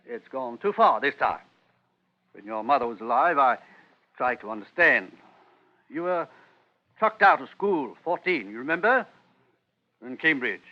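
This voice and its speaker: A man's voice: medium pitch, lively intonation, a little slow, long pauses, lightly acted. A man acting stern British father, dull radio sound. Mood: exasperated. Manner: curt, scolding, formal. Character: stern, disappointed, authoritarian English father. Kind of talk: vintage radio drama acting. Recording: dull vintage radio recording.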